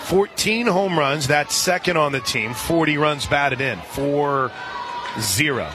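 Higher-pitched male radio play-by-play announcer, crowd behind. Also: lively intonation, slow pace. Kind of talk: live softball commentary. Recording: crowd murmur behind broadcast voice. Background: outdoor stadium crowd.

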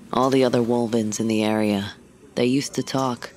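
An actress playing a gruff, protective female wolf-creature who raised a human girl, talking bluntly with gentle teasing, in an audio drama. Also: extremely low pitch, steady tone, a little slow. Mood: fond.

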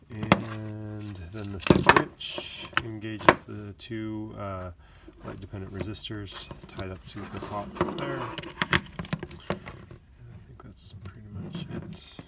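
A man giving a casual hands-on demo of a homemade gadget, explaining it in a matter-of-fact, slightly halting way. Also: fairly low pitch. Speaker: maker demonstrating a homemade gadget. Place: small indoor hobby workbench. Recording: loud background noise with clicks.

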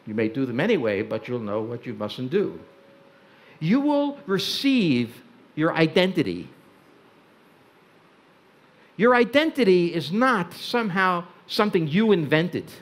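Older hungarian-born guy talking slow on a stage, voice goes way up and down, kinda dry humor. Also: on the high side, sing-song. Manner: wry, deliberate, pacing the stage. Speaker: speaker. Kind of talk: stage talk. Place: large tent stage with audience.

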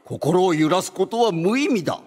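A man's voice: sits high, sing-song, moderate pace, darkish tone. An actor playing a stern, solemn old grandfather who preaches prophecy in a Japanese anime audio drama. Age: older. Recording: long cavernous reverb.

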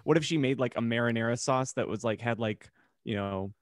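A man's voice: mid-range voice, fairly quick, no room sound, clean studio sound. A man co-hosting a podcast in a casual, teasing, conversational way. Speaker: podcast co-host. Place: indoor home room, remote video call.

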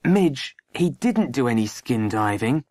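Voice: mid-range voice, big pitch swings, unhurried, darkish tone, no room sound. A narrator voicing an earnest schoolboy in an audiobook, eager to explain but tentative before his father.

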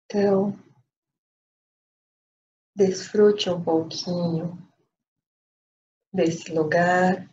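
A woman with a Brazilian accent leading a guided meditation in a calm, unhurried way with pauses. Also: on the low side. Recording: dull lo-fi audio, faint noise.

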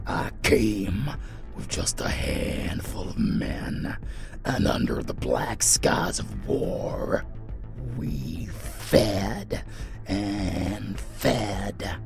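An actor playing an ancient, weakened vampire lord in a dark audio drama, bitter and menacing, speaking in a strained, theatrical half-whisper. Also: male voice, on the low side, creaky, heavily acted. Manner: slow, theatrical, drawn-out. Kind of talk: dark fantasy audio roleplay. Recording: music bed under voice.

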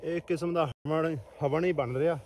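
A man's voice: on the high side, steady tone, normal speed, darkish tone. A man with a Punjabi accent narrating a casual outdoor vlog, chatting to his viewers. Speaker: vlogger. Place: outdoors in a snowy forest. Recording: noisy outdoor phone camera audio, clicks.

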